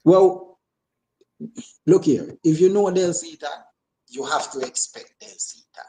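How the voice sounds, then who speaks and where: A man's voice: a little high, unhurried, warm tone, rough recording. A man from Jamaica with a Jamaican accent, chatting casually as an interview guest and repeating phrases for emphasis. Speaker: guest, actor and comedian.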